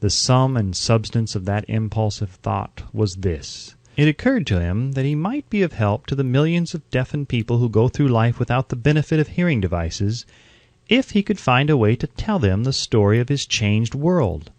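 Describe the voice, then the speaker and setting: A man reading an audiobook from a script in a measured, formal, earnest way, close to the microphone. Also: medium pitch, very animated, a little mellow, dry recording. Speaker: audiobook narrator.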